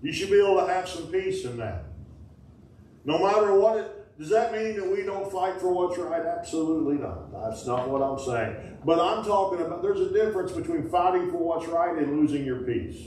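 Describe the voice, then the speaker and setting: Folksy pastor preaching in an echoey church. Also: male voice, high voice, animated, moderate pace, distant mic, a little hiss or hum. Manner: folksy, call-and-response preaching with sudden loud bursts. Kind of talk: sermon. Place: small wooden-walled church sanctuary.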